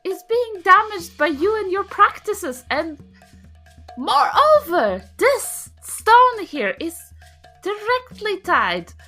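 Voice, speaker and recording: A woman's voice: very high-pitched, big pitch swings, slow pace, pushed and bright, soft background music, pitched above the performer's own voice, heavily acted. A woman voicing an indignant, grumpy old grandmother with a haughty, emphatic, grandstanding manner in a tabletop game. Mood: righteous indignation.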